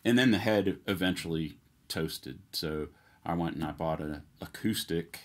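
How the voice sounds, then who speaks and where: A man's voice: low-pitched, normal speed. A middle-aged man telling stories from his musician past in a casual, chatty way. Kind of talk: casual storytelling chat. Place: small indoor music studio, seated at a table.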